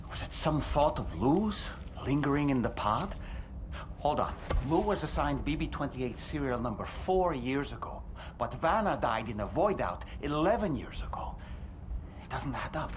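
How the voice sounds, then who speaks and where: An actress playing an intense, analytical investigator in a video game cutscene, speaking in an urgent, questioning way. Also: female voice, rumbling low, very animated, normal speed, background noise. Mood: intense, puzzled.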